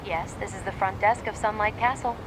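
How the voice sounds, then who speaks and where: A woman's voice: medium pitch, fairly even intonation, a little fast, background noise, close to an everyday voice. A woman playing a polite but unyielding front-desk receptionist in an English game dub, formal and courteous. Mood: polite, firm. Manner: formal, courteous, scripted-sounding.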